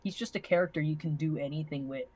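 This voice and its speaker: A woman chatting casually and profanely on a livestream, joking in an animated way and reading out comments. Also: low voice, quick pace, a crowd in the background. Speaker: livestream co-host.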